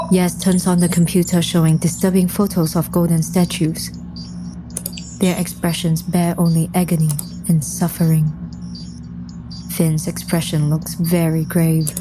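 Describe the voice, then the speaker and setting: A woman's voice: low-pitched, background noise. A woman playing a composed, serious undead mission leader who narrates her own story in an audio drama. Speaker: lead character and first-person narrator. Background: music.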